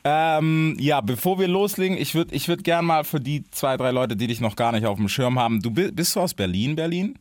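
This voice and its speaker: A man's voice: a little high, expressive, a little fast, few pauses, no room sound, studio quality. A young man hosting a podcast interview, talking in a loose, laid-back way and dropping English slang words into his chat. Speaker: podcast host.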